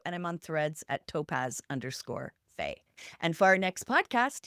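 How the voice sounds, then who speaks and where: A woman co-hosting a podcast, speaking conversationally with an earnest, encouraging manner and deliberate phrasing. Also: mid-range voice, no echo, studio quality. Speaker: podcast co-host. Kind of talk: conversational podcast wrap-up, reflective advice.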